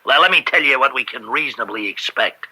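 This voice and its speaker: Domineering mob father, old radio drama; very hard bright edge, husky, street-tough grammar. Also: medium pitch, animated, quick pace, full character voice, low-quality recording. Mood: exasperated. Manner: rough street grammar, blunt. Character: blunt, domineering male crime boss and father. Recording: vintage radio drama recording.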